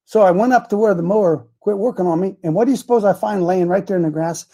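An American man with a raspy voice hosting a religious talk show, chatting casually in a folksy, animated way and telling rambling personal stories. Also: sits high, fast talker. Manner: folksy, animated, repeats phrases for emphasis.

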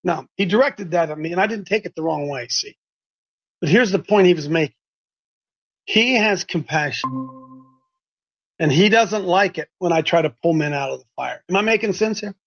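Emphatic high-voiced man preaching. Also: moderate pace, pauses often, fairly bright tone, lo-fi sound. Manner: preachy, emphatic, repeats himself. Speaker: host and street preacher. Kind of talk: fervent religious talk.

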